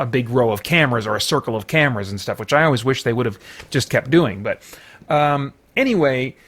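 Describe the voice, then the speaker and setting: An American man hosting an unscripted movie review in a halting way, searching for words and letting thoughts trail off. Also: on the high side, a bit bright. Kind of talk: unscripted, off-the-cuff film review.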